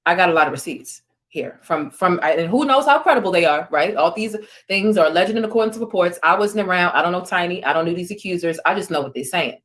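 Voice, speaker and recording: A woman's voice: lowish voice, animated, fast talker, a bit bright. A woman hosting an online news stream, reading screenshots aloud and commenting in a conversational, informal way. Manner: conversational, informal, with asides and reactions.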